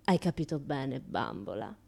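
A woman's voice: extremely low pitch, big pitch swings, a little slow, creaky, darkish tone, husky, full character voice. An actress playing a brash, unashamed, chatty woman with a Roman accent in a comic radio monologue, talking in a colloquial, teasing way. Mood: wry, reassuring. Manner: colloquial, teasing, mimics other people in dialogue. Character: brash, chatty naked woman who turns up everywhere, unashamed.

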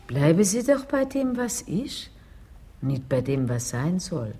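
An actress playing Death as a sardonic, world-weary lady in a radio play, with measured, mocking condescension. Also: deep voice, very animated, dark tone, husky, heavily acted. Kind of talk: radio drama acting.

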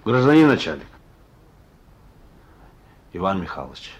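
A man's voice: low-pitched, very animated, unhurried, husky, full character voice. A male actor in a radio play as a sullen, wry young thief, curt and slangy, on a muffled recording. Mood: halting, pleading. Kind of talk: Russian radio play acting.

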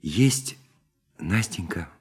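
An actor in a Russian radio play playing a shy, lonely dreamer, hesitant and earnest, with a soft husky voice. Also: male voice, low voice, animated, heavily acted. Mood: tender, hesitant. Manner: hesitant, halting, flustered, earnest.

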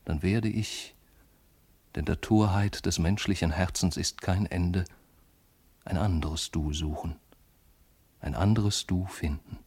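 An actor playing a lovesick, brooding man of letters in a literary radio monologue, speaking lyrically and intimately in measured phrases. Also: deep voice, darkish tone, breathy edge, full character voice. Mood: anguished, fervent.

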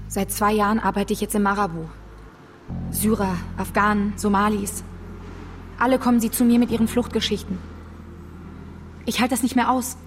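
A woman's voice: medium pitch, little pitch movement, soft background music, natural voice. Actress as weary, frustrated confiding woman. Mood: overwhelmed. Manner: confiding, halting, self-critical. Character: weary, frustrated, self-critical café woman. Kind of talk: naturalistic German radio drama acting.

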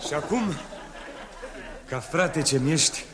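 Man as hearty old emperor, booming, declamatory, old radio tape, crowd behind. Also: animated, noisy background, natural voice. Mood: grave. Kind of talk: 1960s radio drama acting.

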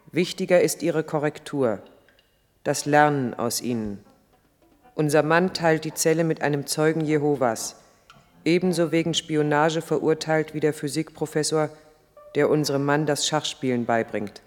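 An actor as an earnest higher-voiced male chronicler, reverberant. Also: lightly acted. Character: earnest male chronicler of a man's troubled life. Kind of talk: 1980s German radio play, literary narration. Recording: long reverb, music in the mix.

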